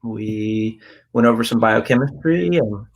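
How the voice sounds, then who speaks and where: A man's voice: fairly low pitch, measured pace, creaky. A young man interviewing in a casual, conversational style. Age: young adult. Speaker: interviewer, medical school applicant.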